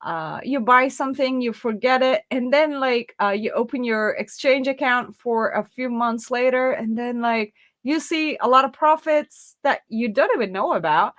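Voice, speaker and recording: Woman host, somewhat high voice, friendly, chatty, fillers. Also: normal speed, a bit bright. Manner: friendly, chatty, laughs often, many fillers like "like, uh". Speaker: interview host. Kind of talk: casual interview.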